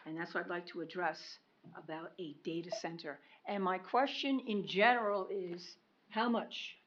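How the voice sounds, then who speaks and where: A woman speaking up during public comment at a county meeting. Her voice is loud and a little low, and she presses her questions with insistence. The meeting recording sounds dull and lo-fi. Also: moderate pace, slight background noise. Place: county commission meeting room.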